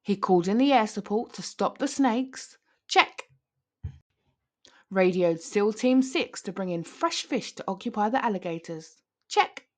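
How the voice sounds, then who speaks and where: Animated woman reading a children's story. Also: mid-range voice. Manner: theatrical, with stretched words for emphasis. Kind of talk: dramatic read-aloud of a picture book.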